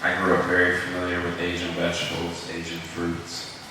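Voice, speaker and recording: A man with a very deep, flat voice speaks calmly and deliberately as a panelist. He is far from the mic in a large echoing hall with clear noise behind him. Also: a crowd in the background. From grew up partly in Japan. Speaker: farmer, panelist. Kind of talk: measured panel talk.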